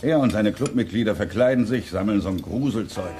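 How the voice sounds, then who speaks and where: Male actor as easygoing, folksy uncle from a village, lowish voice, for a kids' audio drama. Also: lively intonation, brisk, dark tone, a little hiss or hum, close to an everyday voice. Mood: gossipy, relaxed. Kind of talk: children's radio play dialogue.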